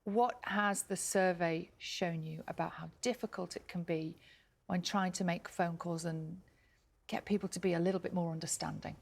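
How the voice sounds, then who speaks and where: A woman's voice: fairly low pitch, fairly even intonation, normal speed, gravelly. A woman presenter conducting a live broadcast interview on a breakfast show. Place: TV studio.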